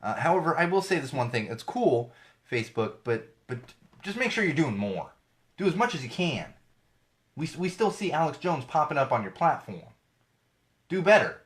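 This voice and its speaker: A man's voice: fairly high pitch, very animated, normal speed. A man hosting his own podcast, chatting casually and ranting with sarcasm and swearing about tech and social media, his voice slightly off from an ear infection. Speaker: podcast host. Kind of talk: casual solo show talk.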